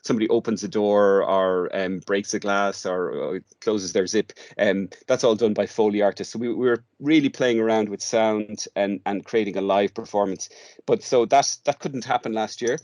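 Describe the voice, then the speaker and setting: An Irish man as a podcast guest, with a fairly low voice and a close, dry sound. He talks informally and haltingly, often repeating words and pausing to find the next one. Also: a little mellow, no echo. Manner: hesitant, halting delivery with repeated words and long searching pauses. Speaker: interview guest, radio drama workshop director.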